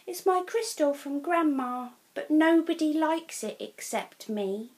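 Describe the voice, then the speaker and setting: A woman's voice: sits high, expressive, unhurried, pitched above the performer's own voice. A woman voicing a shy little girl telling her teacher about her treasured crystal. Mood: earnest. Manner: earnest, gentle explaining.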